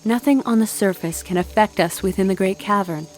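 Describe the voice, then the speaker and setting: A woman's voice: medium pitch, a little fast, a little mellow, lightly acted, poor audio. An actress playing a thoughtful, world-weary, centuries-old immortal woman in an audio drama, speaking earnestly and reflectively.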